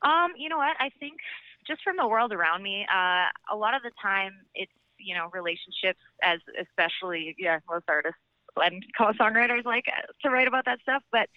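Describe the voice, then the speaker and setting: A woman's voice: mid-range voice, moderate pace, dry recording, muffled recording. A Canadian woman chatting casually as an interview guest, in an informal, chatty manner. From Alberta, Canada. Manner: chatty, informal, frequent "like" and "you know". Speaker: country singer-songwriter, podcast guest.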